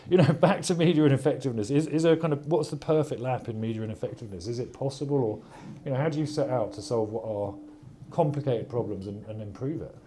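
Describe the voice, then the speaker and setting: A man hosting a panel interview at an industry event, asking quick, chatty questions with a joking touch. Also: mid-range voice, mellow.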